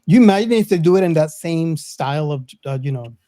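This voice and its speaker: A man's voice: fairly high pitch, lively intonation, fairly quick, mellow, no room sound, clean studio sound. A man hosting a casual podcast chat, good-humoured, with hesitant phrasing. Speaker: podcast host.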